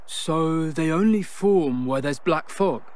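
An eager young tech enthusiast in a video game. He sounds polite and curious, in a slightly high voice. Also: slow, darkish tone. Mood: curious, keen. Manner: polite, keen. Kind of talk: video game cutscene acting.

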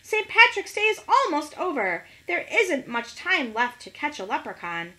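A woman's voice: high-pitched, sing-song, normal speed, bright, forceful tone, pitched above the performer's own voice, heavily acted, low-quality recording. A woman voicing an easygoing, helpful male cat in a children's storybook, eager and brief.

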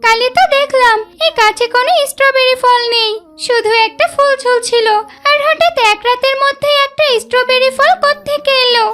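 A woman voicing an excitable, wide-eyed little girl in a children's cartoon. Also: very high voice, normal speed. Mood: amazed. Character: excitable, pious little village girl Maisha.

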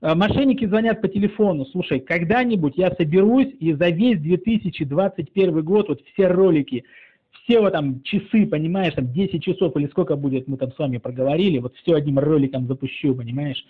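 A man's voice: a little high, brisk. A man in casual mocking phone chat, teasing and drawling as he strings along a caller. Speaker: channel author pranking a phone scammer. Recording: muffled lo-fi call recording.

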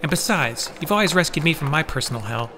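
A man's voice: on the high side, expressive, fast, sharp tone, slight background noise. An actor playing a loyal, wry, reassuring male rescuer in an audio drama. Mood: wry, resolute.

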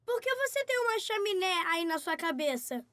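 A woman's voice: very high voice, big pitch swings, normal speed, bright, forceful tone, exaggerated voice. A woman voicing a curious, cheerful, plucky little boy in a Brazilian children's cartoon dub, with an eager, polite, wide-eyed manner. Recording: music behind the voice.

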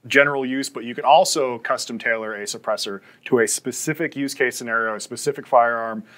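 A man's voice: mid-range voice, a little fast, a bit bright. A man in his thirties chatting casually as the host and interviewer on a factory tour. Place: indoors, sound-testing room and factory floor.

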